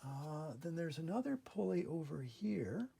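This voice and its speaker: Male repair-channel host, creaky and slightly raspy, mid-pitched, methodical and casual in his step-by-step explaining. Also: very animated, a little slow, warm tone. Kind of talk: casual hands-on repair demonstration. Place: indoor workbench in a workshop.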